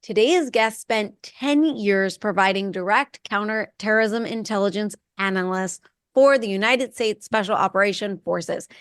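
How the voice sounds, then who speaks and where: A woman's voice: medium pitch, normal speed, smooth, no room sound, clean studio sound. A woman hosting a podcast, reading a guest introduction and then asking questions with an eager, filler-laden manner. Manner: enthusiastic, many um and uh fillers when asking. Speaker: podcast host. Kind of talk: scripted intro then casual chat.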